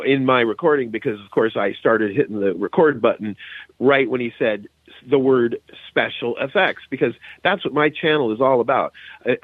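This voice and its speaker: A man's voice: mid-range voice, dry recording. A middle-aged man chatting casually as a podcast guest, often checking in with the listener as he goes. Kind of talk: casual chat. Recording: narrow, phone-like call line.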